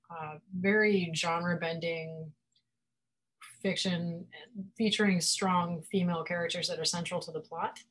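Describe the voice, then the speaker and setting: Chatty woman with a lowish voice. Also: pushed and bright, rough recording. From Florida. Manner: laughs often, chatty and self-deprecating. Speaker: guest author and publisher. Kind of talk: casual interview chat.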